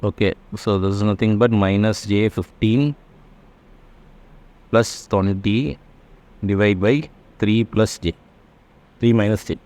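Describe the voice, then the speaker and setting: A man with a fairly low voice teaches a worked engineering problem step by step. His pace is very slow and halting, and he stops now and then to check that it is understood. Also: fairly even intonation, a little mellow. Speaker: electrical engineering lecturer. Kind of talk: step-by-step worked math tutorial.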